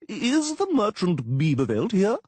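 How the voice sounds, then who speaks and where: A man's voice: wildly swooping pitch, measured pace, darkish tone, breathy edge, no echo, heavily acted. A man voicing a skinny, pompous male town official who crows and bellows. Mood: alarmed. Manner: crowing, shrill bellowing.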